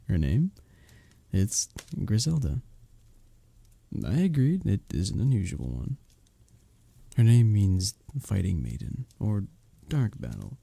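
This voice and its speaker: Man acting a husky, lively medieval innkeeper. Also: lowish voice, takes it slowly, pauses often, full character voice. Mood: amused, teasing. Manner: old-fashioned formal wording, teasing storyteller. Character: flirty, good-humoured male innkeeper of a medieval fantasy village. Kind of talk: scripted roleplay audio acting, intimate ASMR.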